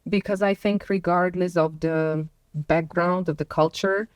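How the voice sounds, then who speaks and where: Woman, lowish voice, croatian native, pauses and fillers, dry close mic. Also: fairly even intonation, clean tone, no room sound. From Croatia and Bosnia and Herzegovina. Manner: hesitant, many fillers, laughs between points. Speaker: podcast guest. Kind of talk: casual conversation.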